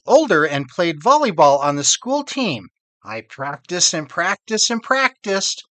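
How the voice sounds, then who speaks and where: A man voicing a loving storybook mother who fondly recalls happy times, with drawn-out, emphatic phrasing. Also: sits high, fairly bright tone, dry recording, exaggerated voice.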